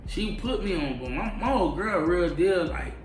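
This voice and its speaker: A man's voice: sits high, animated, moderate pace. A man from Florida, a rapper, chatting loosely with lots of slang and swearing in an interview. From Fort Lauderdale, Florida. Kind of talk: casual interview chat. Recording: echoey room, mic far off, music behind.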